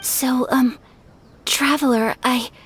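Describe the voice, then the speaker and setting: A woman voicing a gentle, refined young noblewoman in a video game, speaking softly and politely in a measured, earnest way. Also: sits high, fairly even intonation, slight background noise. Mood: shy, nervous. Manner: polite, measured, formal, hesitant. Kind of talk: video game character acting.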